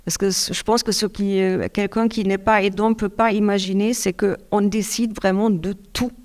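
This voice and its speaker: A middle-aged woman from Germany speaking French with a German accent, giving a hesitant, halting caregiver's testimony with many restarts. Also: medium pitch, little pitch movement, a little mellow. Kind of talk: public testimony interview.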